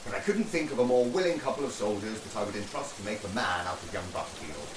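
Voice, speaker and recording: A man performs as an avuncular, upper-class officer in a period war radio drama. His hearty, old-fashioned speech swings widely in pitch, heard from a distance in an echoey, rainy space. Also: lively intonation, quick pace, natural voice. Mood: grave. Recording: echoey, distant, loud rain noise.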